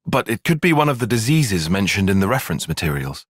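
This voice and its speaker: Precise young soldier strategist, lively pitch. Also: male voice, no room sound, clean studio sound. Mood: measured, analytical. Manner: formal, measured, precise, dryly sardonic. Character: young male soldier strategist, precise, bookish, wry, easily flustered. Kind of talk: video game cutscene voice acting.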